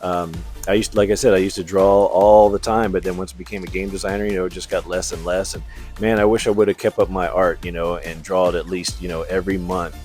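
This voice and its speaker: Deep voiced middle aged guy rambling casually, kinda halting and modest, music playing behind him. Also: flat delivery, a little mellow. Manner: informal, halting, self-deprecating. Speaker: artist showing his portfolio. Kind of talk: casual rambling commentary over artwork. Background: background music.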